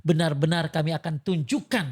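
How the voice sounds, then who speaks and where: A man's voice: on the high side, a little fast, darkish tone, slightly rough. A man teaching Islam informally, asking questions and answering them himself with emphatic repetition. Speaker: religious teacher giving advice as a guest.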